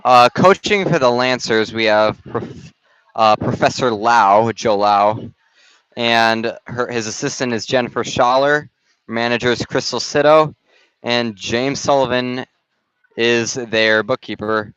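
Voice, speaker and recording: Young man calling volleyball, smooth yet slightly raspy. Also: medium pitch, a bit bright, no room sound. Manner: casual, halting play-by-play with restarts. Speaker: volleyball play-by-play announcer. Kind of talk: amateur sports commentary. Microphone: headset. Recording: dull, dry gym-stream audio.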